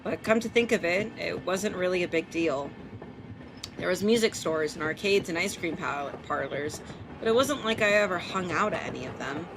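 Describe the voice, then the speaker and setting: A woman's voice: fairly low pitch, expressive, moderate pace. A woman with an American accent on a live game stream, reading a visual novel aloud and commenting casually, swearing and tripping over words. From Portland, United States. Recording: clearly noisy background.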